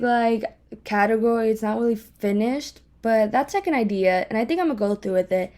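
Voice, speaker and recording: A woman's voice: on the high side, darkish tone. A young woman podcast host with a speech impediment, chatting casually on her own in a candid, self-deprecating, rambling way. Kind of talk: casual solo chat, rambling. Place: home office.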